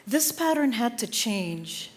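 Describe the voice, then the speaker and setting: A woman from Lebanon giving an inspirational stage talk, slow and measured with dramatic pauses between phrases. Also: fairly high pitch, big pitch swings. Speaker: speaker. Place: theater stage.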